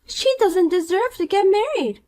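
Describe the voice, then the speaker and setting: A woman's voice: extremely high pitch, expressive, normal speed, dark tone, voice pushed up. A woman voicing a vain, spiteful young woman who was once the most popular girl at school, talking down to an old classmate. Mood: scornful. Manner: condescending, insulting, bossy. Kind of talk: animated story dialogue.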